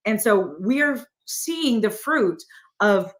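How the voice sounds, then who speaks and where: A middle-aged woman with a mid-pitched voice talks as an interview guest. She presses her points hard, throws rhetorical questions at the listener and speaks to viewers directly. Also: lively intonation, takes it slowly. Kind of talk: emphatic political interview talk.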